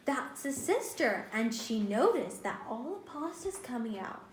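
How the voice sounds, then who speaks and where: A woman's voice: a little high, sing-song, unhurried. A woman reading a picture book aloud to young children as a teacher, expressively, stopping to ask questions and explain. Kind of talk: animated storybook read-aloud to young children. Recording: roomy, echoing indoor sound.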